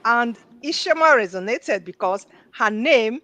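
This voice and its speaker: A woman's voice: fairly high pitch, animated, a little slow, pushed and bright, dry recording. A middle-aged woman guest earnestly telling her own story in an interview.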